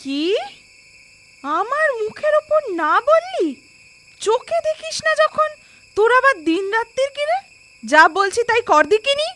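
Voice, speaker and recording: An actress playing a cruel, nagging aunt who berates people shrilly in a children's cartoon. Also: female voice, extremely high pitch, very animated, unhurried, pauses often, a bit bright, slight background noise, full character voice. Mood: bossy, scolding. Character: cruel, scolding aunt driving out her nephew.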